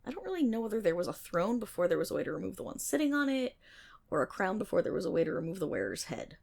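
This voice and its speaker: A nerdy female best friend, acted by a woman rambles through a story in an ASMR roleplay. Her pitch swings expressively and often drops into a creaky fry. She is wry, irreverent and playfully exasperated with her listener. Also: big pitch swings, racing, darkish tone. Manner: rambling, wry, sarcastic, theatrical asides.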